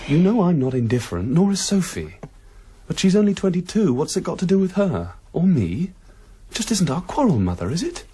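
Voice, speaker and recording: An actor playing an earnest, defensive young poet and son in a naturalistic radio drama. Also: male voice, sits high, sing-song, a little fast, mellow, breathy edge, full character voice. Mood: earnest, uneasy.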